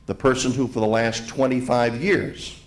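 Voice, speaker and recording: A man delivering a ceremonial tribute to a live audience, speaking in deliberate, emphatic phrases with repetition. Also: medium pitch, fairly even intonation. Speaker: event speaker, museum president giving opening remarks. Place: hotel grand ballroom event.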